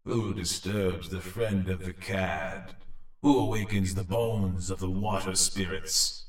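A man's voice: low-pitched, steady tone, takes it slowly. A man voicing a menacing undead water spirit in a folk tale, solemn and threatening, with a hollow echo. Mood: ominous, threatening. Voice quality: echoing, hollow. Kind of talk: dramatic storytelling. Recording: echoey, distant-sounding effect.

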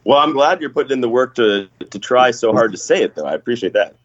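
A man's voice: medium pitch, expressive, fast, no echo. A deferential man feeling his way through sentences as a guest on a chat show, heard close and slightly dull over a lo-fi connection. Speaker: professional wrestler, guest on a wrestling show. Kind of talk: casual chat.

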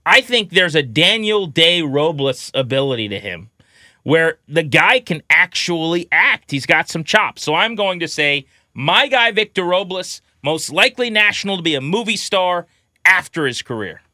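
Animated, bright-voiced man hosting sports podcast. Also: a little high, moderate pace, dry recording, clean studio sound. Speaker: podcast host.

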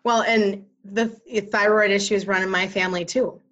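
A woman's voice: medium pitch, steady tone, normal speed, fairly bright tone, highs cut off. A woman who practices Reiki, a podcast guest, explaining earnestly with many "you know" asides. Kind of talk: casual podcast chat.

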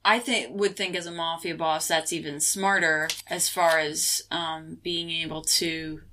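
A woman's voice: sits low, steady tone, measured pace, pushed and bright, a little hiss or hum. A woman in casual conversation about a film, analytical, retelling scenes and quoting the characters.

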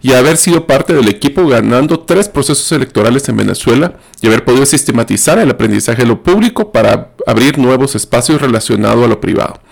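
A man's voice: medium pitch, animated, fast, creaky, hardly any pauses. A man hosting a podcast, reading a guest's bio and then opening the interview. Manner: reads guest bio, then chats warmly. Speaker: podcast host.

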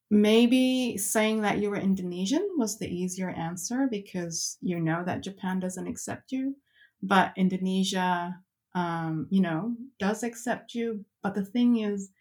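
A woman guest on a podcast, telling a personal story in a reflective, hesitant way, with fillers and restarts. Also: lowish voice. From Canada.

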